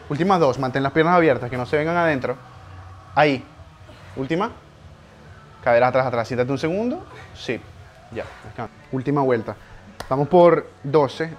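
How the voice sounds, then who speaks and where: A young man, a personal trainer coaching a client through a workout live, counting reps and urging her on. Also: medium pitch. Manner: urgent encouraging counting of reps, pushes harder near the end. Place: home garage gym, indoors.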